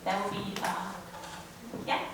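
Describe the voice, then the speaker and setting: A woman's voice: medium pitch, completely flat delivery, slow, very noisy. A woman opening a business panel, joking lightly and asking the panelists to introduce themselves, with many ums. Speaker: panel moderator. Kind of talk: moderating a panel. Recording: echoey hall mic, far off.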